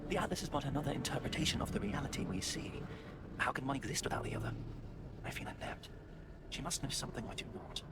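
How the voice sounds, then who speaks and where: A man's voice: mid-range voice, expressive, quick pace, heavy background noise, lightly acted. An actor playing an anguished, searching man in a video game, desperate to save his sister, with a formal, dramatic, brooding delivery. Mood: brooding, troubled.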